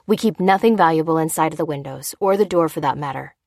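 A woman's voice: sits low, fast, vocal fry, darkish tone, dry recording, clean studio sound. A woman voicing a wary, decisive man in a survival audiobook, terse and firm.

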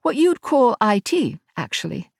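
Woman voicing a brisk Englishwoman, pitched up. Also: big pitch swings, creaky, breathy edge, dry recording, studio quality, full character voice. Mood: brisk, matter-of-fact. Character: brisk Englishwoman tech specialist speaking through a drone.

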